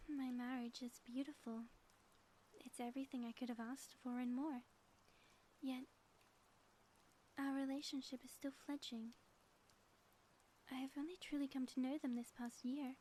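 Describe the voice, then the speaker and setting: A high, soft-toned supervillainess played by a woman from an ASMR audio roleplay. She is a grandiose schemer who is also a devoted spouse, and she phrases everything with theatrical formality. Faint noise sits behind the voice. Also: little pitch movement, unhurried, pauses often. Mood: tender, earnest.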